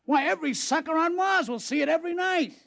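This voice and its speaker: An actor playing a tough, blunt gangster who runs a casino on Mars, in 1950s radio drama style. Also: male voice, very high voice, quick pace, vocal fry, fairly bright tone, no echo, studio quality, heavily acted. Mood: delighted. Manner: tough, blunt, streetwise talk. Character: brash gangster casino owner on Mars, threatening. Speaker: gambling club boss.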